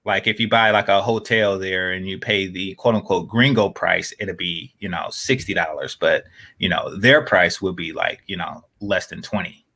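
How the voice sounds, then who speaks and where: A man talking in a casual podcast chat, rambling with many fillers and restarts, often dropping into low, quiet asides. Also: normal speed.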